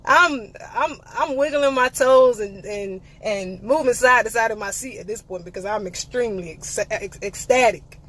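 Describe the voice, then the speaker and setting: A woman's voice: a little high, normal speed, hardly any pauses, a bit bright, poor audio. A young woman, telling a playful, sassy story in a casual vlog. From eastern North Carolina. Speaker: vlogger.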